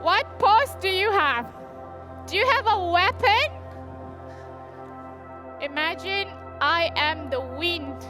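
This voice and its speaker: High-voiced woman coaching a movement class. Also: animated, takes it slowly, pauses often, music in the background. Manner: encouraging, giving playful prompts. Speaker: movement workshop facilitator.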